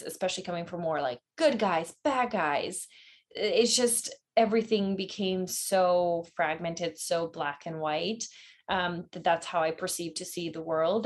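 A woman with a slightly low voice talks as a guest on a podcast. She is thoughtful and open. She laughs easily as she explains her views. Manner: warm, reflective, laughs often. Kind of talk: casual interview chat.

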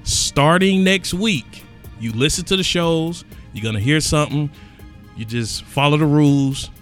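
Lively man hosting a sports podcast. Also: on the high side, unhurried, faint music behind. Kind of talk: casual podcast banter.

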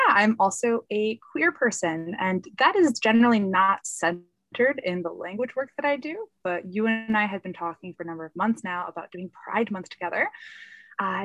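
A woman's voice: medium pitch, fairly bright tone, no echo, low-quality recording. An American woman, a podcast guest, chatting over a video call in an upbeat way. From United States. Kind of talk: casual podcast chat. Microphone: recorded over video call.

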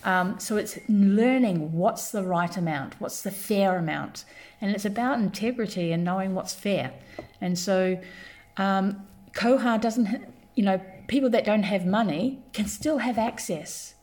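A woman's voice: medium pitch, unhurried, darkish tone. A woman raised in New Zealand, a podcast guest explaining her community work in an earnest, storytelling way with many restarts. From born in London, grew up in New Zealand. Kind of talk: conversational podcast interview.

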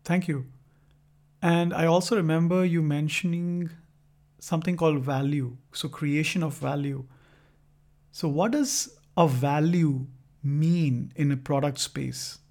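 A man's voice: fairly high pitch, steady tone, measured pace, darkish tone. A man hosting a podcast, reading a guest introduction and asking polite, formal questions. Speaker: podcast host.

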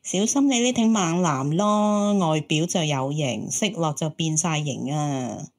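A woman's voice: deep voice, unhurried. An actress playing a hopeful, romantic single woman in her thirties in an audio drama, teasing and exclaiming. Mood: teasing, nosy. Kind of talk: Cantonese audio drama acting.

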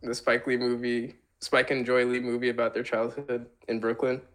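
A man's voice: mid-range voice, flat delivery, moderate pace, a bit bright. A man in his twenties from the United States, co-hosting a podcast in casual chat, laid-back with short replies. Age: 20s. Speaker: podcast co-host.

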